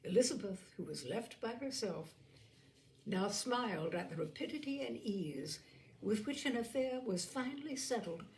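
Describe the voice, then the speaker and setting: Woman reading an old novel out loud, lots of creak in the voice, expressive storyteller. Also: on the high side, moderate pace, a little hiss or hum, rough recording. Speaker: audiobook reader. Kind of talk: scripted literary narration, reading a classic novel aloud.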